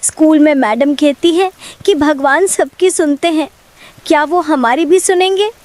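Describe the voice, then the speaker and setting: A woman's voice: high-pitched, measured pace, a little mellow, no room sound. A woman voicing a frightened, trusting little village girl in a cartoon story, pleading in a childlike way. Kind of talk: dramatic cartoon story dialogue.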